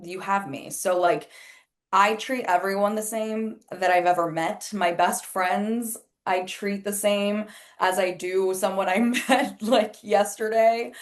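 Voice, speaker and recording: A woman's voice: mid-range voice, steady tone, slow pace. A woman whose first language is Spanish, an astrologer and life coach chatting candidly as a podcast guest, filling her talk with "like". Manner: chatty and candid, many "like" fillers, acts out quoted remarks. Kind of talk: casual interview chat.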